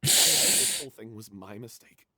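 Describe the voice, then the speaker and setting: A man's voice: fairly low pitch, a little slow, very noisy, lightly acted. A man playing a guilt-ridden theatre troupe member in video game dialogue, sombre and confessional. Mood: guilty, sombre.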